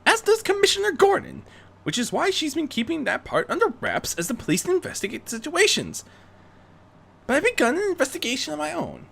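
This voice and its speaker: A young female unicorn heroine, speaks very high and with big swoops in pitch. She is refined and defiant, laying out her case with earnest, theatrical determination. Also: wildly swooping pitch, brisk, gravelly, a bit bright, heavily acted. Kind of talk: acted fan fiction reading.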